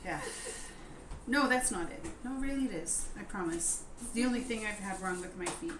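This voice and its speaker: An American woman in her forties chatting casually as co-host, talking haltingly with fillers and poking fun at herself. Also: medium pitch, animated. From United States. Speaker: co-host of a live stream. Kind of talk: casual chat. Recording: echoey room with noise behind.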